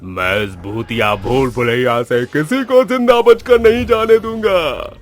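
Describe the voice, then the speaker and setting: A man performs an evil headless ghost from an audio horror story. The speech is slow and drawn out, heavy with menace, over background music. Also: mid-range voice, lightly acted. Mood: gloating menace. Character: menacing severed-headed ghost, growling villain of a haunted maze. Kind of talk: dramatised Hindi horror story acting. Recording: music under the voice.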